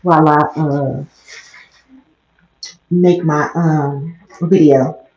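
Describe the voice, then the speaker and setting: An American woman with a slightly raspy voice, talking quietly and casually in a rambling vlog, recorded on a headset mic in a small bathroom. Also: steady tone, drawn-out words. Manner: low-key, talking quietly. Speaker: vlogger livestreamer. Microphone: headset mic close to mouth. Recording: muffled, echoey small room.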